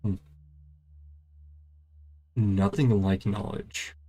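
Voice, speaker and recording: A man's voice: fairly low pitch, steady tone, drawn-out words, dark tone. A man doing casual playthrough commentary on a video game, speaking in clipped fragments and murmured reactions. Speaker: gaming channel host.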